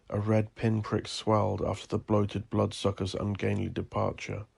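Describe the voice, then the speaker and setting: Low, gravelly male horror narrator. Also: a little mellow. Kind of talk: scripted dark horror fiction narration.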